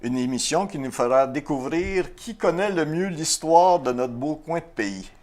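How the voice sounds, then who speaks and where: A man's voice: on the high side, expressive, normal speed, darkish tone. Man, Québécois accent, game show host, chatty, self-deprecating, middle-aged. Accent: Québécois French. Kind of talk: casual game show hosting.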